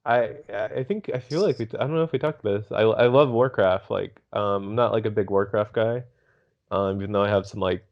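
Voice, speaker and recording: Gravelly lowish guy on a podcast, laid back and hesitant, muffled lo-fi mic. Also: a little fast. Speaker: podcast panelist. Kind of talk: casual chat. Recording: dull lo-fi microphone sound.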